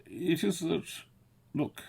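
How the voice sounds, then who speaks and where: Man from south india, gravelly husky voice, rambling casual monologue, laughs a lot. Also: a little high, lively intonation, slow pace, darkish tone. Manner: digressive, laughing often, swearing. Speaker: video essayist. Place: London.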